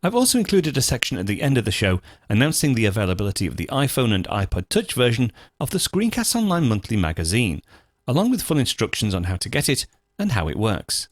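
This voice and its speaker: A man hosting a software tutorial, explaining things step by step in an informal, methodical, conversational way. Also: mid-range voice, wildly swooping pitch, fast talker, no echo, clean studio sound. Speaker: host of a software tutorial show.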